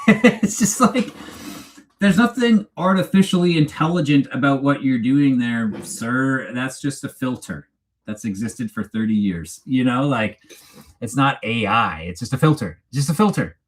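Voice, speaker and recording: A man's voice: mid-range voice, lively intonation, unhurried, slight background noise. A man with a Canadian accent hosting a casual, unscripted livestream chat in a rambling, teasing, informal way. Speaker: livestream host. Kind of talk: casual unscripted chat, reading live comments.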